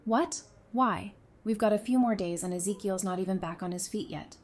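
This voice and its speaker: A woman's voice: mid-range voice, normal speed, a little mellow, close to an everyday voice. A woman playing a caring, candid, wry survivor in an audio drama, speaking earnestly and expressively. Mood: concerned.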